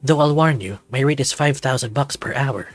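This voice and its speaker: A man's voice: medium pitch, moderate pace, faint music behind, lightly acted. A man voicing a proud, self-assured dragon lawyer in a visual novel game, in a composed manner. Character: suave, proud male dragon lawyer in a suit.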